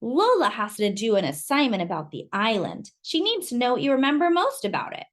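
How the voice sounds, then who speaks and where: Woman doing a kind big-cousin girl from a picture book, lively voice. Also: fairly high pitch, brisk. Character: helpful older girl cousin.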